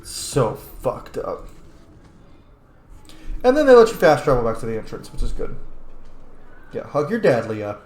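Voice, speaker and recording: A man's voice: mid-range voice, sing-song, measured pace, pauses often, darkish tone. A man giving casual, blunt and profane commentary as he plays a video game. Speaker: gamer hosting a playthrough. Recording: roomy echo, faint noise.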